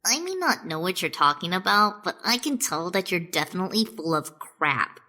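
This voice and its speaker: A woman's voice: lowish voice, very animated, moderate pace, bright, forceful tone. A woman playing a blunt, fiery, suspicious fighter in a fan comic dub, brash and incredulous.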